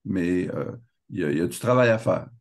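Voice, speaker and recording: A man with a Québécois accent speaking on a panel in a halting way, with many restarts. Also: low voice, lively intonation, vocal fry. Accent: Québécois French. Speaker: association member and speaker. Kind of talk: panel talk.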